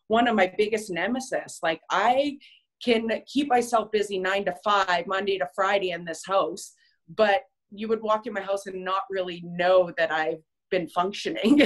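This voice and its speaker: A woman casually sharing personal, motivational reflections with a group in a candid, conversational way. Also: on the low side.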